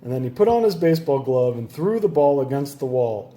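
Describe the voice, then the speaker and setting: A man reading a children's story aloud in a playful, animated way, leaning on the sound words. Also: medium pitch, fairly quick. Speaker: storybook reader.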